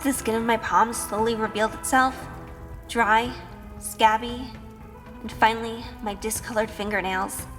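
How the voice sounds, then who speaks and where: A woman's voice: fairly high pitch, steady tone, measured pace, long reverb tail, lightly acted. A woman playing a timid, sheltered young woman in an audio drama, hesitant and anxious. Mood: uneasy, reflective. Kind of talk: audio drama acting and first-person narration. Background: music.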